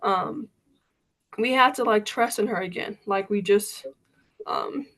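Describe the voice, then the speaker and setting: A young woman in her late teens, a high school basketball player, telling her story as a guest over a video call with a relaxed, filler-filled way of talking. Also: takes it slowly. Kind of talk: casual interview answers.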